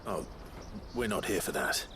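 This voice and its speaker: An actor playing a calm, steady adventurer hero in a video game, low-key and reassuring. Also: male voice, moderate pace, background noise.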